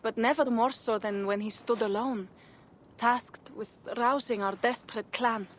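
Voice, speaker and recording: Earnest, higher-voiced young Viking woman played by an actress. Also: steady tone, unhurried, slight background noise, close to an everyday voice. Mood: wistful, solemn. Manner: solemn, earnest. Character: proud, grieving young Viking clanswoman, loyal and earnest. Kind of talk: dramatic video game acting.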